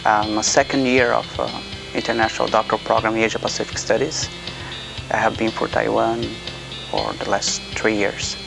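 Earnest Brazilian man, gravelly voice. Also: on the low side, level tone, moderate pace, rough recording. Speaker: doctoral student. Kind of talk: interview testimonial. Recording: music bed behind voice.

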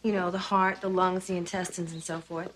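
A middle-aged woman acting in a film as a polite, matter-of-fact suburban lady who calmly plans to cook a boy. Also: fairly low pitch, a little fast, lightly acted. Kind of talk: film acting, understated menace. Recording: film soundtrack with faint noise.

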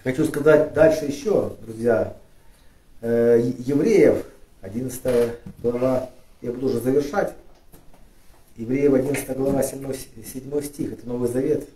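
A middle-aged pastor from Ukraine preaches in a hesitant, restart-filled way that turns lifted and forceful when he reads scripture. His voice is mellow and dark. He sounds far from the mic in a roomy, echoing space. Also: mid-range voice, a little hiss or hum. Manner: halting, many fillers and restarts, rising emphatic when reading scripture. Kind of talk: sermon. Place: church studio with flags and lit cross. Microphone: far camera. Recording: echoey room, voice from a distance.

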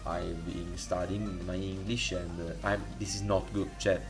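A man with an Italian accent, speaking English as a second language, walks through a modding tutorial in a halting way, with many fillers and restarts, recorded on a desktop microphone. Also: low-pitched, level tone, music in the background. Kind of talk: casual screen-recorded tutorial. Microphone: desktop USB microphone.

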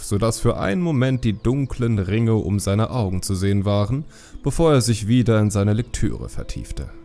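Male audiobook narrator: lowish pitch, lively intonation, expressive fantasy storytelling over soft music.

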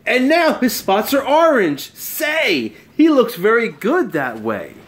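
A middle-aged man giving an expressive children's storybook read-aloud at home. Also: very high voice, slow. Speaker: storybook reader. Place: small bright room at home.

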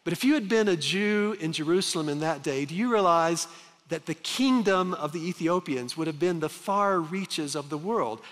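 A man's voice: on the high side, animated, fairly bright tone. Earnest man preaching in a reverberant hall. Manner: earnest, emphatic, with slow dramatic pauses and wide gestures. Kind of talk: sermon. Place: large church stage with reverb.

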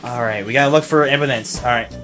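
A woman's voice: very deep voice, fast talker, over music. A woman leading an improvised roleplay with friends while playing a video game. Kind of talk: improvised roleplay during gameplay.

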